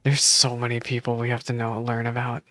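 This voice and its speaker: A man's voice: mid-range voice, monotone, a little fast, fairly bright tone, dry recording, faint music behind. A man streaming a game, reading story text aloud and reacting casually as he goes.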